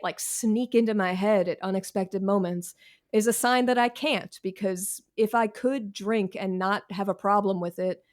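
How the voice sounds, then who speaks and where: An American woman talking casually as an interview guest, candid, wry and self-deprecating, with slangy phrasing. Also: medium pitch. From Washington, D.C., United States.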